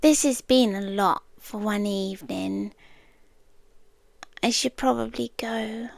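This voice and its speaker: Slow, hushed British yandere girlfriend, acted by a woman. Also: mid-range voice, fairly even intonation, vocal fry, no echo. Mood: tender, ominous. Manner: hushed, deliberate, softly menacing, tender. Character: soft-spoken obsessive girlfriend stalker, calm, coolly intense, yandere. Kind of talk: intimate girlfriend roleplay ASMR, half whispered.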